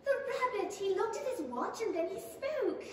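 Woman doing a curious little girl, really high voice, 1930s radio play, far from mic in a big echoey hall. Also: sing-song, normal speed, darkish tone. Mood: excited, curious. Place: theatre stage. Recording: very echoey theatre stage from far off, faint noise.

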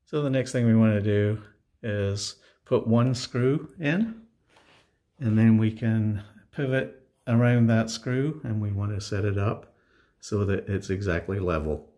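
A man giving a casual how-to narration of a home installation, explaining step by step with halting pauses mid-sentence. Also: lowish voice, dark tone. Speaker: DIY home installer presenting his project. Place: indoors in a home.

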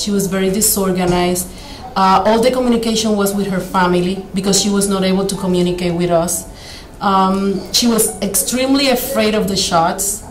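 Middle-aged woman, earnest storytelling, roomy office. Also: mid-range voice. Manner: earnest, fillers and small slips. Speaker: clinic doctor. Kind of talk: interview storytelling. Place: clinic office, indoors. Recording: roomy room.

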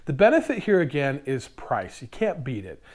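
A man's voice: medium pitch, wildly swooping pitch, normal speed, darkish tone. An American man in his thirties presenting explanatory DIY tips to camera, recorded indoors on a lapel mic. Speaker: host of a DIY woodworking channel. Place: indoor workshop in front of lumber racks.

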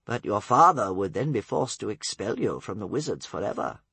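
A man's voice: on the low side, sing-song, a little fast, gravelly, pushed and bright, breathy edge, no room sound, pitched above the performer's own voice, exaggerated voice. Man voicing a grave, wise, scolding old-style advisor. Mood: stern warning. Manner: solemn, admonishing, rhetorical repetition.

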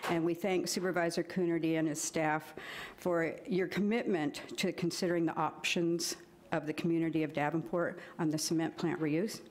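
A woman's voice: on the low side, darkish tone. A woman in her sixties giving a prepared public comment at a county board meeting, reading earnestly from notes at a podium microphone. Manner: reads steadily from notes, earnest.

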